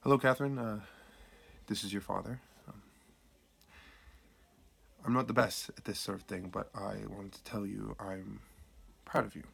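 An actor playing an awkward, tender middle-aged father who speaks haltingly and earnestly in a radio play. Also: lowish voice, steady tone, creaky, fairly bright tone. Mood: awkward, proud. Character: awkward, tender, apologetic father of grown children. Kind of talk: radio play acting, heartfelt spoken message.

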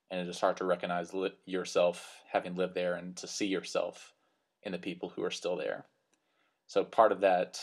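A man in his thirties with a West Texas accent, a minister talking earnestly and reflectively in a solo podcast. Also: sits low. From West Texas Panhandle, US. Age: 30s. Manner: earnest, reflective, slightly self-conscious. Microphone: computer microphone.

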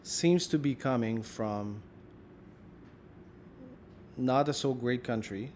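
A man's voice: medium pitch, takes it slowly, darkish tone, slight background noise. A man interviewing a guest in a casual chat, thinking aloud and filling his questions with "like". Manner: rambling, thinks aloud with many "like"s.

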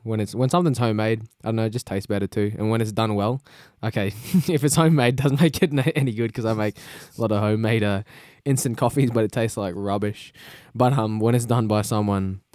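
Laid-back Australian man, smooth voice. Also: lowish voice, fairly quick, few pauses, a little mellow, no echo, clean studio sound. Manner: laid-back, rambling, laughs often. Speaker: podcast co-host. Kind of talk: casual chat. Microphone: podcast microphone.

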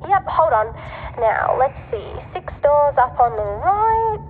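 A woman's voice: high-pitched, big pitch swings, takes it slowly, phone-quality sound, full character voice. A woman playing a cheeky, scatterbrained girl tech helper in a sci-fi audio drama, speaking playfully through a radio intercom filter. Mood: helpful, brisk. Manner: playful, flippant. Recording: thin radio line, noisy, long reverb.

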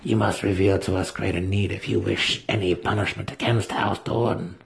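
A man voicing an imperious, shrewd female dark elf matron with a formal, commanding manner in a fantasy audiobook. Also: low voice, steady tone, moderate pace, vocal fry. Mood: imperious, measured. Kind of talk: dramatic fantasy audiobook reading.

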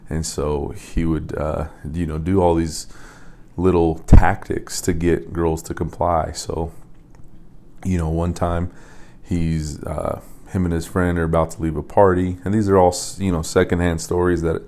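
A young man from Las Vegas hosting a podcast, telling stories in a loose, rambling way with repeated words and soft laughs. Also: low voice, level tone, unhurried. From Las Vegas, USA. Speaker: podcast host and coach. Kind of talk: casual storytelling monologue.

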